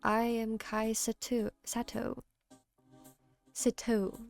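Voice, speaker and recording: A polite, modest homemaker from a video game, read by a woman, soft-spoken and deliberate. The voice is fairly high, with music underneath. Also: flat delivery, vocal fry. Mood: mild, polite.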